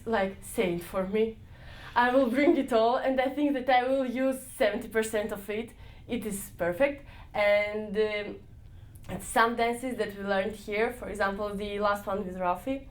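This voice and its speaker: A young woman answering interview questions, searching for words with frequent pauses and restarts. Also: medium pitch. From Israel. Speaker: interviewee, volunteer dance leader.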